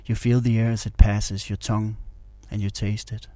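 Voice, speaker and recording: Male meditation narrator: low, even-toned, calm and instructional delivery. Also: normal speed, dry recording. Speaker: meditation guide.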